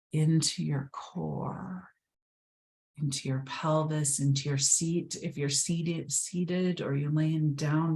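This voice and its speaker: A woman leading a guided meditation, speaking slowly and soothingly, sometimes dropping to a whisper. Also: deep voice, a little mellow. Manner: slow, soothing, drifts into whispers.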